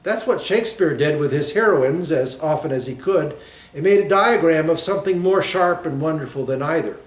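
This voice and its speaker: A man voicing a courteous, gently enigmatic male playwright in a storybook, polite, measured and wry. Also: a little high, lively intonation, reverberant, natural voice, rough recording. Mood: reflective, apologetic.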